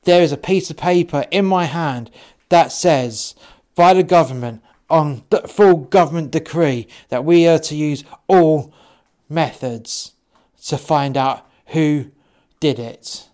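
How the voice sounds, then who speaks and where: A man's voice: on the high side, slow, darkish tone. A man voicing an aggressive, threatening police officer who swears as he interrogates, in a storytelling style. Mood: menacing. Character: aggressive, bullying male police officer, foul-mouthed and threatening.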